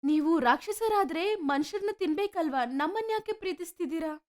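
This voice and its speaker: A woman voicing a frank, warm-hearted young village daughter in a story. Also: extremely high pitch, animated, moderate pace. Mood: questioning. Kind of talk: animated moral story dialogue.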